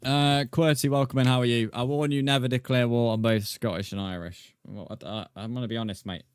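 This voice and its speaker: A man's voice: mid-range voice, fairly even intonation, brisk, clean tone, dry recording. An English man chatting casually with viewers as a live streamer, in a rambling, matey way. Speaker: video game live streamer.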